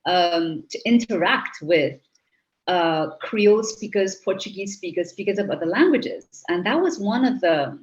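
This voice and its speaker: A woman's voice: lowish voice, lively intonation, measured pace. A middle-aged Trinidadian woman, a guest in an interview, speaking reflectively with frequent restarts. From Trinidad and Tobago. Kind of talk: interview conversation. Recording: slightly muffled audio.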